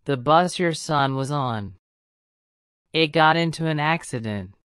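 A woman's voice: low voice, expressive, clean tone, no echo, studio quality, pitched below the performer's own voice. A woman reading a hesitant worried neighbor man. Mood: grave. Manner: hesitant, halting delivery.

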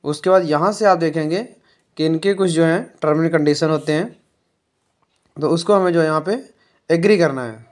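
A man calmly walking viewers through a phone reset step by step, often filling pauses with "jo hai". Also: fairly high pitch. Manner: unhurried, explanatory, fills pauses with "jo hai". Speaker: tech tutorial presenter.

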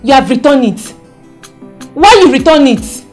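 Young Nigerian village wife, high voice, naive, stubborn, repetitive, roomy echo with music behind. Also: female voice, lively intonation, normal speed, darkish tone. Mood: indignant. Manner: speaks Pidgin, repetitive, questioning. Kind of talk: film drama acting.

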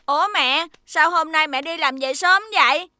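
A woman's voice: high-pitched, moderate pace, sharp tone, no room sound, clean studio sound. A woman voicing a small, cute magical creature in a children's cartoon, childlike and inquisitive. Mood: curious. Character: small, cute, curious blue-green magical creature friend.

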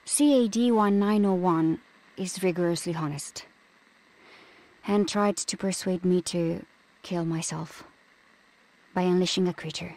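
A woman's voice: lowish voice, a little mellow, lightly acted, low-quality recording. A woman playing a formal science officer dictating a matter-of-fact incident report in an audio drama. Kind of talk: scripted log in audio drama.

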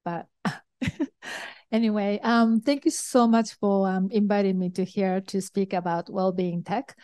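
A woman's voice: medium pitch, fairly even intonation, measured pace, mellow, clear, resonant tone. A middle-aged woman with a Japanese accent, presenting to a conference audience as an investor, hesitant with frequent ums and restarts. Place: conference hall.

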